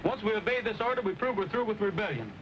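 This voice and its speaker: An actor playing an earnest, upright cowboy hero in an old western film. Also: male voice, sits high, expressive, fast talker. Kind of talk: 1940s western film acting. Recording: muffled, noisy old film soundtrack.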